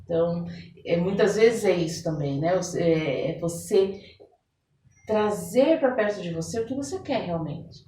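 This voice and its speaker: A Brazilian woman chatting as a podcast guest in an expressive, reflective and emphatic way. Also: on the low side, a little slow. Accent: Brazilian Portuguese. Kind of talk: casual podcast conversation. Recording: echoey room, distant microphone.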